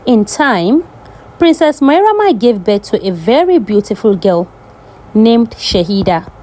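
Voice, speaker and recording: Female folktale narrator: higher register, highly animated scripted storytelling. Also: measured pace.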